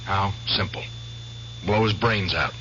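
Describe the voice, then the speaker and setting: An actor in a radio drama playing a haunted ex-prisoner of war bent on revenge, insistent and emphatic. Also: male voice, deep voice, measured pace, bright, forceful tone. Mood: grimly determined. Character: haunted, vengeful ex-prisoner of war, obsessed with killing. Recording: dull, hissy, humming old radio broadcast.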